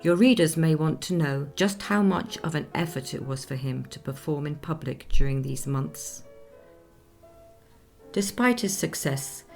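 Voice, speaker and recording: A woman playing a composed, discreet 19th-century lady who recounts her memories in formal, measured speech for a radio play. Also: low-pitched, gravelly, darkish tone. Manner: measured, formal, genteel storytelling.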